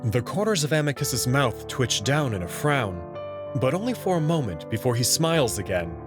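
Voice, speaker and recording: A man reading a visual novel aloud in the first person, in an expressive, nervous way. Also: medium pitch, normal speed. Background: background music.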